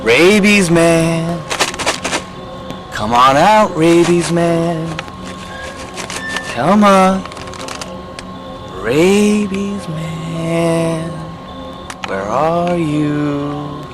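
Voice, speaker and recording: A man's voice: a little high, very slow, pauses often. A man playing a cocky young amateur monster hunter in a comic trailer. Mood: calling out. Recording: music behind the voice.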